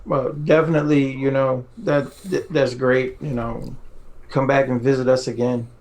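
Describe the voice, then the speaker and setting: A man's voice: medium pitch, level tone, normal speed, darkish tone. A man co-hosting a casual interview, with a playfully theatrical way of putting questions. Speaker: interviewer, podcast co-host.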